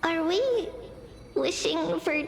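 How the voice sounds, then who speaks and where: Childlike wistful seer girl, video game. Tender, high-pitched, swimming in reverb over music. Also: animated, slow pace, creaky, a little hiss or hum, heavily acted.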